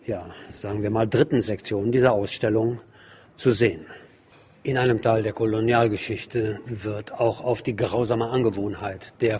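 A man presenting a narrated report on an exhibition, explaining in a measured way with deliberate phrasing. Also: on the low side, a little mellow, slight background noise.

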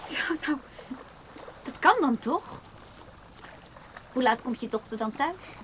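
A high-pitched, lonely younger woman in a radio play. She is touchy and clinging in temper. There is faint noise behind the voice. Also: expressive, slow pace, a bit bright, full character voice. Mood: eager, insistent. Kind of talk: Dutch radio play acting.

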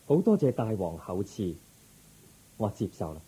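A man playing a calm, resolute young prince turned monk in a radio drama, speaking in a measured, gentle, formal way. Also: sits low, breathy edge, full character voice, poor audio. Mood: polite, firm. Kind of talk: Cantonese Buddhist radio drama acting.

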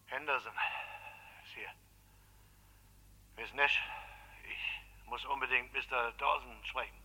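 A shaken attack survivor, with a very sharp, tense tone at middle pitch. He reports haltingly and urgently, in radio thriller style. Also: fairly even intonation, heavily acted, low-quality recording. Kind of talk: radio play acting.

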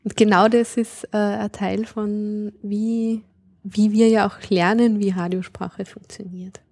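Mellow-voiced woman explaining thoughtfully. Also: medium pitch, little pitch movement, measured pace, no room sound, studio quality. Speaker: linguist guest. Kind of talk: conversational interview.

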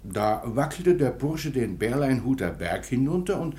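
A man's voice: medium pitch, sing-song, a little slow, warm tone. An actor playing a plain-spoken townsman in a radio play, talking respectfully as if reporting to a superior. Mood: eager, respectful. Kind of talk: German radio play acting.

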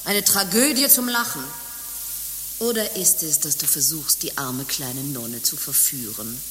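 An actress playing a bitter, world-weary former harlot turned nun in a radio play, with theatrical speech both ornate and coarse. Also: female voice, sits low, measured pace, a crowd in the background. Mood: scornful fury. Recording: hissy recording with long reverb.

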